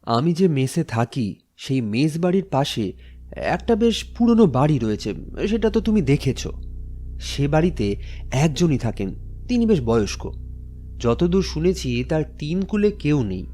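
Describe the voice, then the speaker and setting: A troubled office worker in a horror audio story. His voice is fairly high and very animated in pitch. He comes across as earnest, a little hesitant and respectful. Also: measured pace, soft background music. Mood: pensive, puzzled. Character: Kishori, troubled male office-going friend, earnest and hesitant. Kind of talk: Bengali audio story acting.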